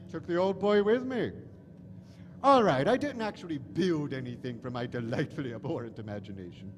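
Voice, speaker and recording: An actor playing an eccentric alien mad professor, theatrical, gushing and disdainful, callous toward humans, in a live radio play. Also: male voice, on the high side, lively intonation, brisk, close to an everyday voice. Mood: airy, boastful. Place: large hall stage at a convention. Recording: echoey hall stage recording, faint noise.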